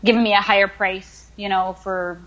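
A woman's voice: mid-range voice, little pitch movement, normal speed, a bit bright, dull audio. A young woman in her twenties from the United States, talking casually as an interview guest, with many fillers as she thinks aloud. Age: 20s. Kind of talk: casual conversation.

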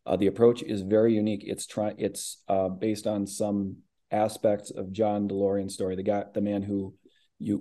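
A man's voice: fairly low pitch, dark tone. A man from the American Midwest, a voice actor, talking about his work as an interview guest, thoughtful and rambling with many restarts.

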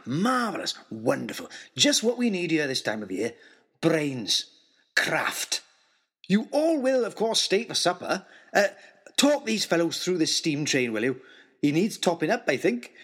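Guy doing a big jolly old man for an audio story, super exuberant, bit bumbling, voice all over the place and husky. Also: fairly high pitch, very animated, a little slow, fairly bright tone, exaggerated voice. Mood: jovial, flustered. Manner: exclamatory, bumbling, hesitating over names.

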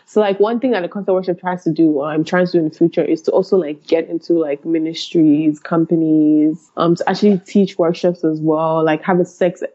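A woman talks casually as a podcast guest, her voice low and mellow. She fills her speech with "like" and "man". The audio is dull and lo-fi. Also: steady tone, fairly quick, hardly any pauses. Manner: casual, lots of "like" and "man", laughs while talking. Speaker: podcast guest, consent educator. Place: lives in Toronto.

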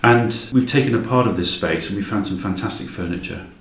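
A man's voice: lowish voice, fairly quick, fairly bright tone, a little hiss or hum. A man chatting casually as a podcast guest, enthusiastic and emphatic. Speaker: podcast guest, drama creator. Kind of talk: casual chat. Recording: echoey room with noticeable background ambience.

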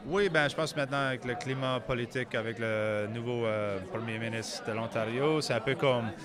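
A man's voice: mid-range voice, normal speed. A man from Nova Scotia with an Acadian French accent, chatting casually in an interview and dropping English "so" into his sentences. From Nova Scotia, Canada. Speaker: musician interviewee. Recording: busy crowd noise around.

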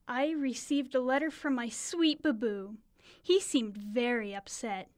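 A woman voices a young girl, the pert little sister in a comic radio play. The voice is high, and the manner is nosy, teasing and a bit dramatic. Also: steady tone, a little slow, natural voice.

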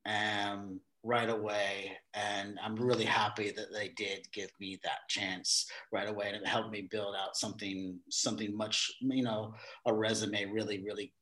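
A man being interviewed about his theater career, talking in a halting way with many restarts and repeated words. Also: fairly low pitch, flat delivery, pushed and bright, poor audio. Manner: acts out quoted exchanges in a livelier voice. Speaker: theater director, podcast guest. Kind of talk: casual interview conversation.

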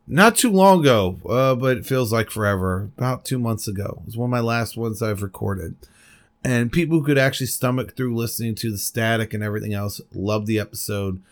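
A man hosting his own solo podcast, talking at a desk microphone in a rambling, candid and passionate way, with casual swearing. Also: lowish voice. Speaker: podcast host. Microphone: desk microphone at a computer.

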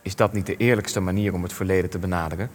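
An actor playing a weary, defensive elderly war veteran in a Dutch radio play, speaking deliberately with hesitations and rhetorical turns. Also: male voice, low voice, steady tone, soft background music, close to an everyday voice. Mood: defensive, evasive. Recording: long reverberant hall.

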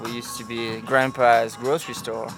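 A man in his forties from Belgium with a Belgian French accent, a podcast guest talking in halting, repetitive casual speech and laughing often. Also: medium pitch, little pitch movement, over music. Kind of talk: casual interview chat.

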